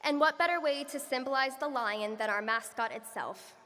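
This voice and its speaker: A young woman, a student, giving a graduation speech in an earnest, measured way. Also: high-pitched, bright, forceful tone. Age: young adult. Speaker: student speaker. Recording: echoey hall from far off.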